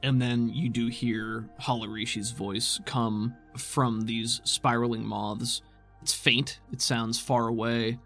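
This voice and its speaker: A man runs a tabletop game as game master, with noticeable fry in a mid-pitched voice. He narrates scenes descriptively in an easygoing, conversational way, with soft music underneath. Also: level tone, takes it slowly.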